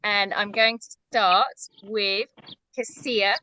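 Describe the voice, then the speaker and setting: Bright-voiced woman hosting a call. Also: mid-range voice, steady tone, takes it slowly. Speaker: host. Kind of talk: hosting a video-call chat. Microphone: video call.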